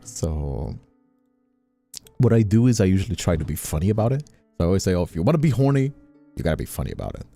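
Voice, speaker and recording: Very deep-voiced animated man chatting. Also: fast, creaky, pauses often, no room sound, faint music behind. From Brazil. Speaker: streamer and voice actor. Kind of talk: casual chat on a livestream.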